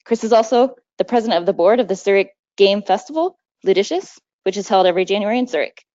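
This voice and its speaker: A woman's voice: medium pitch, lively intonation, quick pace, dark tone. A woman hosting a webinar, reading a prepared introduction of the speaker. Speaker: webinar host. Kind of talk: formal introduction. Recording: dull lo-fi webinar audio.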